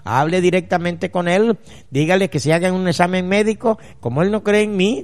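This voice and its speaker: A man hosting a radio advice show as a natural healer, addressing listeners directly and emphatically with deliberate pauses. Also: sits high. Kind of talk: radio advice show, reading listeners' letters and answering them.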